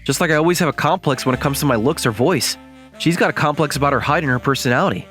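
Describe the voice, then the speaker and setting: A man voicing an awkward, kind, earnest young man in a manga dub, with expressive delivery. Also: fairly high pitch, fast, faint music behind, close to an everyday voice. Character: awkward, kind young man self-conscious about his looks. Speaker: voice actor. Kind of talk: manga dub dialogue and narration.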